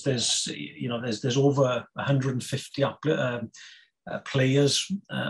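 A Welsh man in his fifties, a guest on a podcast, talking casually with many pauses, fillers and restarts. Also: medium pitch, low-quality recording. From Wales. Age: 50s. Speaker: guest, snooker player and federation chairman.

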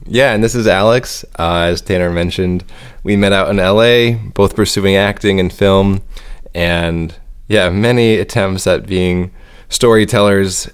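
A man's voice: deep voice, smooth. A young man co-hosting a podcast, talking earnestly and reflectively in casual chat. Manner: earnest, reflective, laughs easily. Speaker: podcast co-host.

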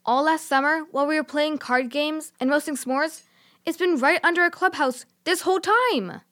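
High-voiced eager young kid acted by a woman. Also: moderate pace, a bit bright. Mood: amazed. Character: eager adventurous child in a fantasy tale. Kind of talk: children's audio drama acting.

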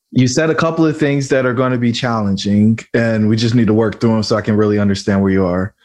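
A man hosts a podcast and gives advice in a direct, coaching way. His voice sits on the low side. He talks plainly and casually. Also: darkish tone. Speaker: podcast host.

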